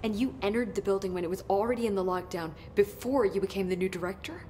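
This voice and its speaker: An actress playing a nervous, talkative young research assistant in a video game. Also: female voice, medium pitch, fast, mellow, a little hiss or hum, natural voice. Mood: rattled. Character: rattled, chatty young female research assistant. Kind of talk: video game cutscene acting.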